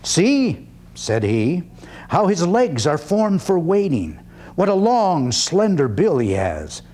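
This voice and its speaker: A man playing a proud, wry 19th-century freethinking orator in a dramatic one-man stage reading, with deliberate, declamatory phrasing. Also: on the high side, wildly swooping pitch, mellow, exaggerated voice. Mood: storytelling, wry. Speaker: actor performing a one-man play.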